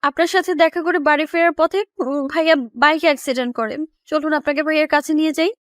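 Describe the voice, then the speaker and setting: A woman plays a worried younger sister in an audio story. She is anxious and pleading, her voice high and closely recorded with no room sound. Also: steady tone, quick pace, a bit bright, no room sound, studio quality. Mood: distressed. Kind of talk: audio story character acting.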